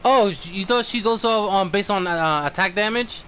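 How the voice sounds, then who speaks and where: Male game streamer, notably high voice, halting and conversational, slight noise behind him. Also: fairly bright tone. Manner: halting, thinking aloud. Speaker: game livestream host. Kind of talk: casual livestream chat.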